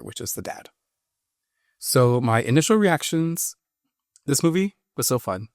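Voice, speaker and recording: A male podcast co-host talks through a film review in a casual, halting way. His voice sits at an ordinary male pitch, and the sound is close and dry, with no room around it. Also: dry recording.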